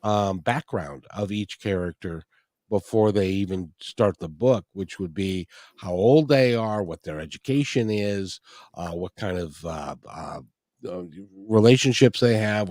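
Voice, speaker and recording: Host: man, lowish voice, dry studio sound, stammering questions. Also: expressive, measured pace, a little mellow, no room sound. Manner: rambling questions with stammers and restarts. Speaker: podcast host. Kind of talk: podcast interview.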